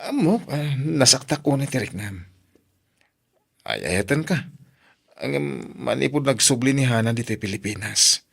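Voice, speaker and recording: A man's voice: medium pitch, expressive, normal speed, vocal fry, long pauses, breathy edge. A man playing a torn, guilt-ridden young boyfriend with an Ilocano accent, pleading in an audio drama. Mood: sheepish, apologetic.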